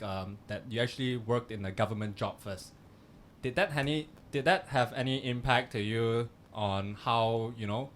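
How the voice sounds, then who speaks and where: A man's voice: medium pitch, slight background noise. A young man hosting a podcast, chatty and loose in his phrasing, with frequent fillers. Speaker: podcast host. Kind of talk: casual podcast interview.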